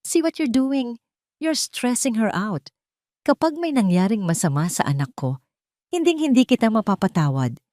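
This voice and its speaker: A woman's voice: fairly high pitch, very animated, moderate pace, darkish tone, no room sound, studio quality, voice pushed up. A woman voicing a cold, contemptuous, scheming husband in an audio story. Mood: angry contempt.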